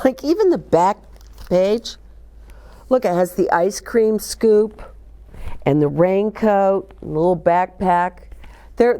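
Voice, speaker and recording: Unhurried, animated woman children's story host. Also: mid-range voice. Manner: expressive, talks directly to young listeners, asks questions. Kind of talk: read-aloud and chatty book talk for children.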